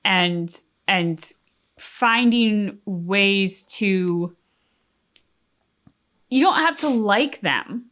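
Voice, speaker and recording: A woman co-hosting a casual, reflective reaction chat, phrasing her thoughts in a measured way. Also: mid-range voice, expressive, a bit bright. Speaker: reaction channel co-host. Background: faint game music.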